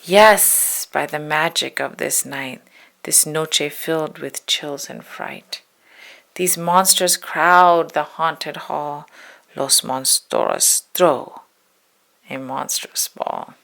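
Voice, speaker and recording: Very slow, creaky woman reading spookily. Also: deep voice. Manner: slow, hushed spooky delivery with stretched words and whispers. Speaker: storybook reader. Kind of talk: dramatic read-aloud of a spooky children's poem.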